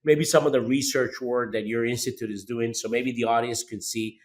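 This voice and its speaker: A man's voice: mid-range voice, steady tone, moderate pace. A man from hosting a podcast interview, often repeating words and restarting sentences. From Costa Rica. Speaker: podcast host.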